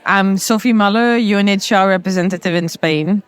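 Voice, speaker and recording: Woman, formal, measured delivery, medium pitch, official representative. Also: clear, resonant tone. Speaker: UN agency representative. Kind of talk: interview statement.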